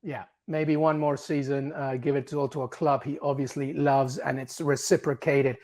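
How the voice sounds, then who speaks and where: A man's voice: medium pitch, level tone. A man from Peru, a native Spanish speaker, hosting a football show and interviewing a guest with upbeat, enthusiastic questions. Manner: upbeat, warm praise, quick questions. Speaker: sports show host.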